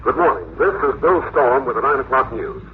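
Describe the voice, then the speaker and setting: A man acting as a newscaster in a vintage radio play. He announces in a mid-pitched voice over a thin, band-limited broadcast with faint hiss. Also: lively intonation, a little fast, natural voice. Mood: urgent, announcing. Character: brisk male radio newscaster. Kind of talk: 1950s radio news announcing. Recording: tinny, hissy old radio recording.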